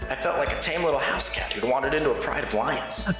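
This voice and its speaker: A man playing an earnest, awed high school boy narrating a visual novel fan dub. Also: fast, a bit bright, close to an everyday voice. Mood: awed, uneasy. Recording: very echoey, long reverb, music behind.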